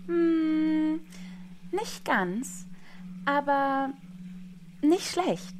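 A woman's voice: high voice, expressive, extremely slow, background noise. A woman in her forties giving a staged, playful performance, teasing and at times dropping to a whisper. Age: 40s.

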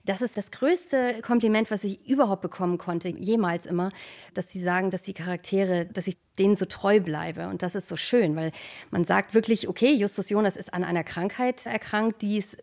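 A woman's voice: medium pitch, moderate pace, gravelly, a little mellow. A woman in her thirties or forties, speaking heartfelt and emphatically as a fan in an interview. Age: 30s to 40s. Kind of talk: personal interview storytelling.

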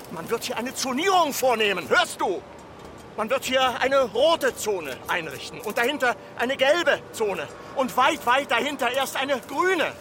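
A man's voice: very high voice, bright, forceful tone, full character voice. A man playing an urgent, imploring village mayor in a radio drama, speaking in insistent, incantatory repetitions. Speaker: actor. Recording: clear background noise, vehicle sounds.

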